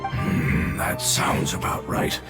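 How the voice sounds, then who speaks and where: A man playing a weary, dying guardian creature in a video game, speaking solemnly and haltingly in a breathy rasp. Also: echoey room, loud music behind the voice, natural voice. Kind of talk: dramatic video game voice acting.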